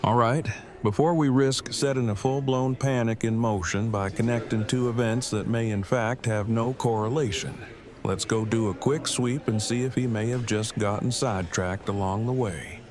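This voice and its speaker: A man acting a wordy, formal, deadpan husband in an audio drama. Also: medium pitch, moderate pace, crowd noise, lightly acted. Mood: measured concern. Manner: verbose, formal phrasing.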